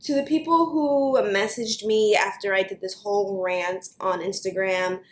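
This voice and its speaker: Young new york girl venting on her vlog, fast and animated, lots of "like", roomy echo. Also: mid-range voice, a little hiss or hum. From United States. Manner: animated, fast, chatty with many likes. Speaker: beauty vlogger. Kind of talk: ranting to camera.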